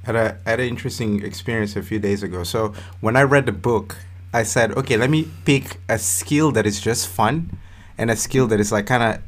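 Relaxed male co-host, lower voice. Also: brisk, soft background music. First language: Portuguese. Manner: relaxed, telling anecdotes. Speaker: podcast co-host. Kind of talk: casual podcast chat.

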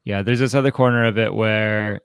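Guy podcast host talking through a book, low voice, kinda halting, thinks as he goes, dry close sound. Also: steady tone, smooth, no echo. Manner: halting, repetitive, reflective. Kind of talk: conversational book commentary.

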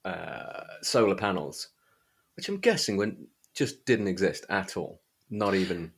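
A man's voice: deep voice, lively intonation, measured pace, breathy edge. A man hosting a podcast in a casual, chatty style. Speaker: podcast host. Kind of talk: casual chat.

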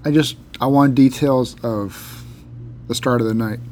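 A man co-hosting a casual podcast, ribbing his friend with dry, deadpan jokes. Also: medium pitch, a little slow, slight background noise. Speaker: podcast co-host. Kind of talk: casual banter.

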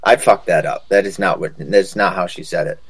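A man's voice: low-pitched, fairly quick, slightly rough, dry recording. A man talking casually as a podcast co-host, rambling through stories and politics in colloquial, sweary language. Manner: profane, colloquial, calls listener dude.

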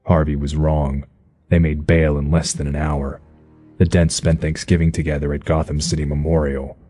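A man voicing a brooding masked vigilante, narrating in a terse, gruff, hard-boiled manner. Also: a bass voice, little pitch movement, normal speed, warm tone. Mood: grim. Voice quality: husky, gruff. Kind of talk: audio drama narration. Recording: faint music behind.

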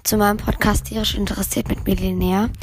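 A hoarse teenage girl hosting her own podcast, chatting casually and rambling, often correcting herself. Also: medium pitch, little pitch movement, quick pace, noisy background. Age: teens. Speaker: podcast host. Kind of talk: casual solo podcast chat.

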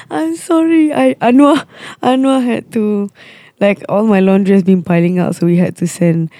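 A touring musician with a Malaysian English accent, hoarse and unwell, chatting casually in a rambling, halting way. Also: mid-range voice, mellow, no room sound, clean studio sound. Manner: rambling, halting, with many pauses and restarts. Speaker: touring musician and podcast co-host. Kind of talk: casual chat.

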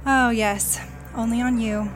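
A woman's voice: on the high side, steady tone, measured pace, close to an everyday voice. An actress playing a cocky, trigger-happy riflewoman in a radio drama, curt and dryly teasing.

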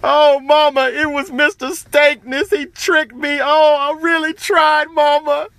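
A man's voice: very high-pitched, fairly even intonation, measured pace, fairly bright tone, no room sound, pitched above the performer's own voice, exaggerated voice. A man voicing a little boy who is crying and pleading with his mother. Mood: sobbing. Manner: wailing, pleading. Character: little boy sobbing in apology.

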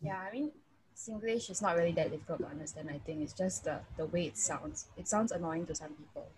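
A woman's voice: fairly low pitch, fast talker, a little mellow, slight background noise, poor audio. A young woman with a Singaporean accent, co-hosting a casual podcast, telling stories animatedly and laughing often. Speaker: podcast co-host. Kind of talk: casual chat.

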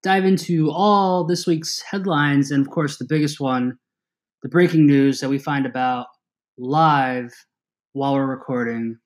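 A young man in his twenties hosts a sports podcast. His voice sits a touch high, and he talks in a lively, teasing way, full of quick asides. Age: 20s. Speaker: podcast host. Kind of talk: casual sports podcast chat.

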